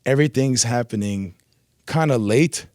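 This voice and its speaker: A man whose first language is Arabic, a rapper guest telling stories in a relaxed, rambling way with slang, on a studio mic in a radio studio. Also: mid-range voice, moderate pace, no echo. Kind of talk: casual interview chat.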